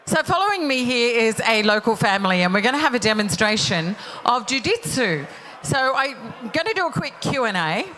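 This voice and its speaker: An Australian woman works as the host of a live club event, speaking informally into a microphone. Her voice sits a little high, and she is chatty and playful as she talks to guests and the room. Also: very animated, fairly quick, bright, forceful tone, slight background noise. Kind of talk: live awards night emceeing, informal audience interview.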